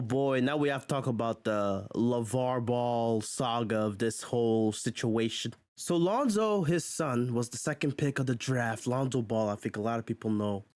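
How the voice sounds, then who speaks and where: A man's voice: medium pitch, no room sound, clean studio sound. A man hosting a casual sports commentary video, chatty and exclaiming, often chuckling. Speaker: video host.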